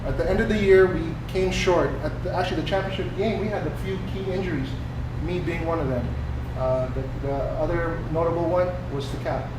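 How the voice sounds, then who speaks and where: A man's voice: on the high side, a little fast, few pauses. A man on a sports talk panel speaking casually about football, emphatic and slangy. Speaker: sports podcast panelist, self-described defensive player. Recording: echoey room, distant mic, noisy background.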